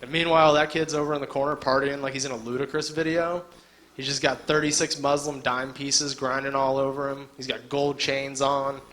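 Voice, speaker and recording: Bright-voiced, sardonic male stand-up comic. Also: mid-range voice, level tone, fairly quick. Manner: casual, sardonic, lots of filler uh. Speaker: stand-up comedian. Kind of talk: stand-up comedy set. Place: live stage venue, indoors.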